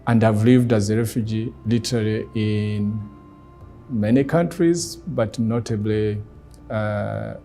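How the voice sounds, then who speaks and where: Rwandan guy, kinyarwanda native, soft dark tone, talks slow and thoughtful w pauses, faint music behind. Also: fairly low pitch. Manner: deliberate, measured, with pauses. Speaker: guest speaker, former ambassador. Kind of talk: reflective personal talk.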